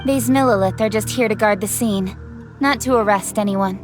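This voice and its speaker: An actress voicing a brisk, direct young noblewoman official in a video game. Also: mid-range voice, music in the background, natural voice. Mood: stern. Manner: crisp, direct, a little sharp.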